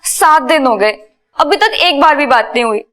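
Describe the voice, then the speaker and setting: Anxious high-voiced young Indian woman. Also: animated, normal speed. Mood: worried. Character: anxious, timid young woman hoping to act. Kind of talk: TV crime serial acting. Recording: loud dramatic background music.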